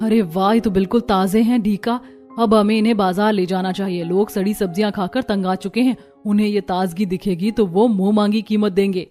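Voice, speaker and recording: A woman's voice: mid-range voice, steady tone, fairly quick, mellow, faint music behind, natural voice. A woman playing a hardworking, earnest young village daughter-in-law in a cartoon.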